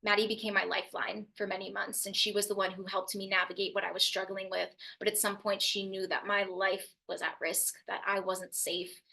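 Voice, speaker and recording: A young woman speaking as a youth mental health speaker, telling her personal story to an audience in an earnest, steady way. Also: mid-range voice, fairly quick, vocal fry, few pauses. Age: young adult. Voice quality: loud voice.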